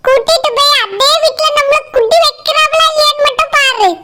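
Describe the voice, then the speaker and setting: A woman plays a cocky little cartoon schemer with an extremely high, childish pitch. The girl talks with boastful self-assurance in Kanyakumari Tamil, against heavy noise. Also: brisk. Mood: smug, confident. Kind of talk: Tamil comedy cartoon dialogue.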